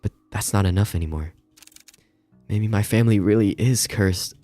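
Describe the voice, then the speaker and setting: A man voicing a timid, worried young woman from a visual novel, earnest, hesitant and gentle. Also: lowish voice, normal speed, faint music behind, lightly acted. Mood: distressed, resigned. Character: timid, worried young woman seeking help for her grandfather. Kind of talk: visual novel character read aloud.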